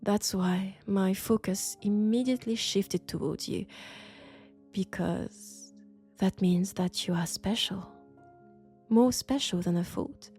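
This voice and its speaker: A woman with a French accent playing an elegant, condescending vampire scholar in an intimate roleplay audio drama, speaking deliberately and seductively. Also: medium pitch. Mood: intrigued, persuasive. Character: elegant, sophisticated, coolly curious female vampire scholar. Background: faint dark ambient music.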